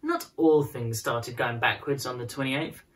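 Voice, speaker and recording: A woman reading a children's picture book aloud as a storyteller, with expressive, dramatized phrasing. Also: extremely low pitch, measured pace. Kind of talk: scripted storybook narration in prose and verse.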